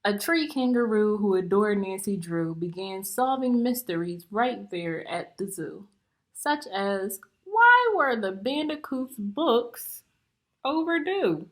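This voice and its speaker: A woman reads a picture book to her students as a teacher would. Her pitch sits in the middle and her pace is very unhurried. She is deliberate and instructive, explaining and questioning as she goes. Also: expressive. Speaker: teacher reading aloud to students.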